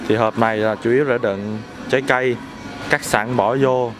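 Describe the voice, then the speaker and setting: A young man hosting a casual cooking vlog, explaining step by step in a relaxed, chatty way in his home kitchen. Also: mid-range voice, moderate pace, noisy background. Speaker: vlog host.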